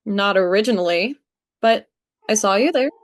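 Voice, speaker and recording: A woman playing a dry, matter-of-fact senior office worker in a script table read, deadpan and instructing. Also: mid-range voice, normal speed, lightly acted. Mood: dry, wry.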